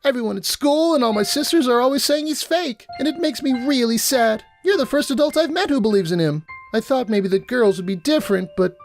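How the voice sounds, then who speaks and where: A man's voice: extremely high pitch, fairly quick, hardly any pauses, soft background music, pitched above the performer's own voice. A man voicing a childlike, wistful teenage girl with an earnest, wavering manner in a story reading. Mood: earnest, sad.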